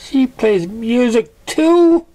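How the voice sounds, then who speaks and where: A narrator voicing an excitable young female pegasus pony, gushing with awestruck amazement. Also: mid-range voice, slow, pitched above the performer's own voice. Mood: amazed, thrilled.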